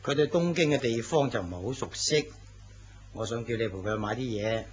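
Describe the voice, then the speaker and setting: An actor playing an arrogant, domineering company president in a radio drama. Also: male voice, medium pitch, lively intonation, brisk, slight background noise, lightly acted. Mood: commanding. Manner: curt, domineering. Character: arrogant middle-aged male company president. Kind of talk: Cantonese radio drama acting. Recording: dull lo-fi recording.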